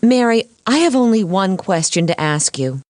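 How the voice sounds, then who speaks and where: A woman voicing a sensible but anxious cartoon lawyer with a measured, teacherly manner. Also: mid-range voice, very animated, darkish tone, dry recording, clean studio sound, lightly acted. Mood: cautious. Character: sensible, anxious female lawyer mentoring a boy. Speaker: lawyer character. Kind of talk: animated courtroom cartoon voice acting.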